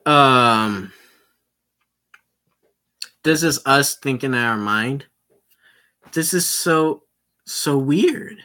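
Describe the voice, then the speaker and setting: A man's voice: medium pitch, lively intonation, drawn-out words, long pauses, sharp tone. A man casually reading a visual novel aloud, throwing in joking, swearing asides. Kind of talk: casual let's-play narration.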